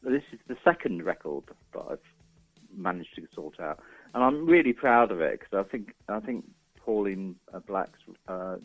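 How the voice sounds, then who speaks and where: A man in his forties with a fairly low voice talks casually as a radio guest. He is informal and a little hesitant, and the sound is dry and slightly dull. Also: animated, moderate pace, dry recording, faint music behind. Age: 40s. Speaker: radio guest, doctor and record label owner. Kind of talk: casual chat.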